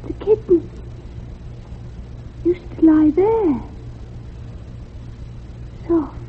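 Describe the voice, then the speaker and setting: Very high, eerie little ghost girl. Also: animated, slight background noise, full character voice. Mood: plaintive, eerie. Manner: slow, halting, repetitive childlike speech. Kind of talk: old-time radio horror drama. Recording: tinny, band-limited radio recording with music.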